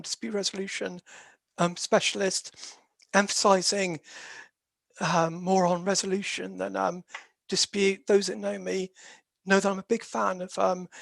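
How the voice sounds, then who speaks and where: A croaky, gravelly presenter lecturing in a steady, explanatory way, pausing often on "um" between phrases. The voice sits at a middling pitch and sounds close and dry, with no room around it. It comes across as a dispute resolution specialist walking through a webinar. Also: no room sound. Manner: hesitant, with very frequent "um" fillers.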